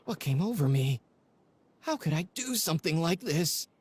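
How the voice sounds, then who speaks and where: A man's voice: fairly high pitch, big pitch swings, moderate pace, slight background noise. A man voicing a flustered, contrite academic in an anime video game, hesitant and apologetic. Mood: horrified.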